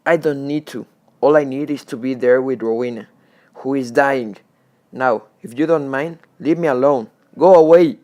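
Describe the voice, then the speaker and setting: A man's voice: mid-range voice, moderate pace, close to an everyday voice. A man playing a troubled, delusional widower who tells his gothic tale in literary wording for a radio drama. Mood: agitated, defiant. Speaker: lead actor and narrator. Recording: music under the voice. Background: loud music.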